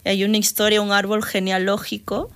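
A woman's voice: mid-range voice, little pitch movement, brisk, fairly bright tone. A middle-aged woman with a Peruvian Spanish accent speaks as a guest on a radio program. Her talk is hesitant and full of restarts, and she laughs readily as she answers. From Peru. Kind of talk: casual interview talk.

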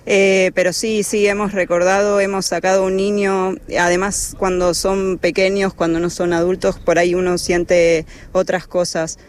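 A woman's voice: on the low side, little pitch movement, normal speed, few pauses. A woman from Argentina with an Argentine Spanish accent, a lifeguard coordinator answering interview questions hesitantly, with many fillers and restarts.

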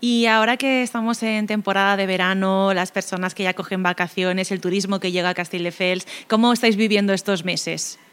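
A woman's voice: medium pitch, fairly even intonation, a little fast, hardly any pauses, fairly bright tone, smooth. Friendly woman radio host. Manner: friendly, laughs easily. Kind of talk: radio interview.

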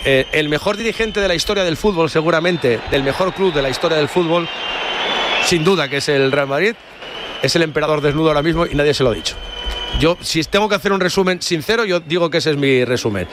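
Man, Spain accent, sports radio host, slightly high voice, halting musing commentary, faint traffic noise. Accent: Spanish, from Spain. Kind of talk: live sports talk commentary.

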